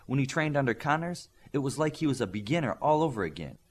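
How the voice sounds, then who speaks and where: Guy doing a composed determined young fighter girl for an audiobook, expressive range. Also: medium pitch, fast, pitched above the performer's own voice. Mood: resolute. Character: determined, composed young female martial-arts superhero. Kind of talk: audiobook character dialogue.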